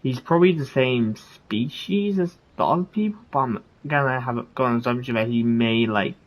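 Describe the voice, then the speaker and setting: A man's voice: medium pitch, normal speed, muffled recording. A man doing casual game commentary, slangy and thinking aloud as he goes. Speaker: gaming streamer. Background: game music.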